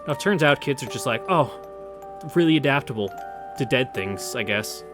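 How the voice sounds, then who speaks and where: A man's voice: medium pitch, animated, normal speed, over music. A young adult man giving casual, sardonic commentary and reading aloud as he plays a visual novel. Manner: sardonic, sweary, offhand. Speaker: game streamer reading through a visual novel.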